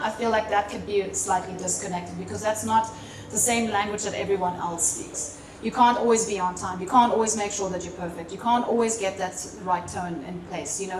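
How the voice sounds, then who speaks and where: A woman whose first language is German, moderating a live panel discussion and often repeating words as she searches for them. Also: medium pitch. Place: seminar hall at a festival. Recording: roomy echo from far off, loud background noise.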